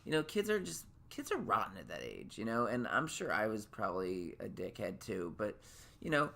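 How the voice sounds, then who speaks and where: A man in his late thirties hosting his podcast in a casual solo monologue. His voice sits naturally high, and he rambles with lots of restarts and fillers. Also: huge pitch swings, moderate pace, vocal fry, bright, forceful tone. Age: late 30s. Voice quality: naturally high voice he can drop lower. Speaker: podcast host.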